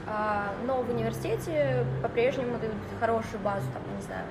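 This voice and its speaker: A woman's voice: on the high side, brisk. A young woman giving casual, chatty interview answers as a podcast guest, speaking informally with plenty of slang. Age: young adult. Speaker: podcast guest, blogger and brand founder. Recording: very noisy ambient background.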